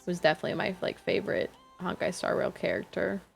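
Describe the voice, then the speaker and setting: A woman's voice: lowish voice, steady tone, fast, vocal fry, soft background music. A young woman streaming a game, chatting casually and rambling with lots of fillers. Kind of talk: casual chat while gaming.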